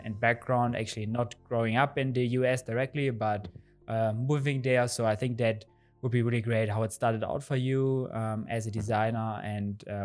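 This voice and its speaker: A man with a mid-range voice hosts a podcast, reading an introduction and then asking earnest questions full of fillers. Clear music plays behind his voice. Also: medium pitch. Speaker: podcast host.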